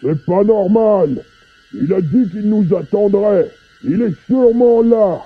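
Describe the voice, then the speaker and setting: A man's voice: sits high, slow, breathy edge, phone-quality sound, full character voice. A man playing an urgent gangster henchman in a French science-fiction audio drama. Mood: urgent calling. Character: menacing male henchman of a gangster club, shouting.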